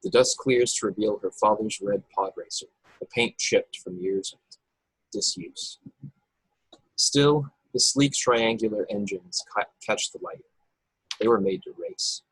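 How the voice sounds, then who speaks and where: A man narrates the stage directions of a script at a live table read. His voice is fairly low and husky. The sound is close and dry, with no room echo. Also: unhurried. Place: remote video call, dry sound.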